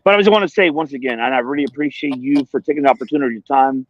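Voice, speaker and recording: A man's voice: mid-range voice, rapid-fire, dull audio. A man in his forties hosting a casual live stream, speaking earnestly and effusively and often restating himself. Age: 40s. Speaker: stream host.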